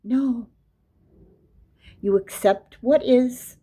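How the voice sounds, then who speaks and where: A woman's voice: on the high side, drawn-out words, mellow, husky. A woman giving a casual religious lesson, explaining verses and reciting Arabic. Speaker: Quran teacher.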